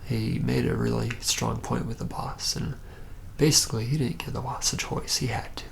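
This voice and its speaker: A young man playing a reluctant, awkward young vampire in an ASMR roleplay, murmuring haltingly and often close to a whisper. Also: medium pitch, flat delivery, gravelly, a little hiss or hum. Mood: reluctant, puzzled. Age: young adult.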